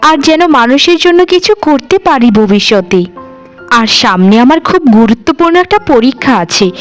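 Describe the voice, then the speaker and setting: A woman voicing a polite, earnest young daughter-in-law in a cartoon, gentle and deferential. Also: a little high, lightly acted. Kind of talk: cartoon voice acting.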